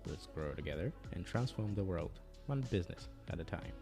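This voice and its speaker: Male announcer, deep, polished scripted intro over music. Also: lively intonation, a little fast. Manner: polished promo delivery. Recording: intro with clear music behind.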